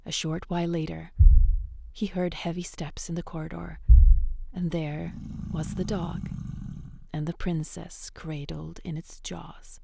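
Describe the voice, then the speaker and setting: A woman narrating a dark fairytale in measured, suspenseful short phrases. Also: lowish voice, little pitch movement, creaky, slightly rough, dry recording.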